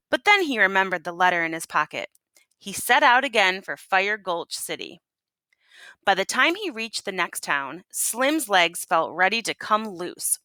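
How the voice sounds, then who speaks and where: A woman reading a picture book aloud as a teacher would, in an expressive, folksy storytelling manner. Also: mid-range voice, no echo. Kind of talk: animated picture-book read-aloud to listeners.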